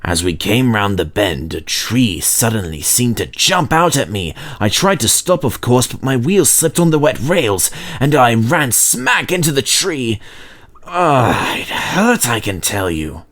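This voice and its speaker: A man's voice: medium pitch, big pitch swings, a little slow, a bit bright, exaggerated voice. A man voicing a proud, chatty male steam engine in a storybook, boasting dramatically about adventures. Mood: dramatic.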